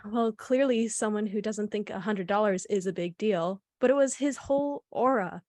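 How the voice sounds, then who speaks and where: A woman's voice: medium pitch, normal speed, darkish tone, no echo, clean studio sound, close to an everyday voice. An actress playing a frank, gossipy young female server giving an interview in a radio play. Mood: frank, musing.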